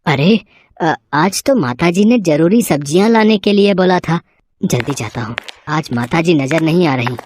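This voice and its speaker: A woman voicing a humble male vegetable seller in an animated moral story, earnest and respectful with a pleading, hesitant manner. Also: low-pitched, normal speed, slight background noise. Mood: worried.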